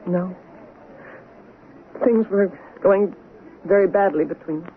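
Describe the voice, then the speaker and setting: A woman playing a guilt-ridden, hard-drinking stage actress in a radio drama, theatrical, halting and anguished. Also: lowish voice. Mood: halting, confessional. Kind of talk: 1970s radio drama acting. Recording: tinny, noisy 1970s radio broadcast.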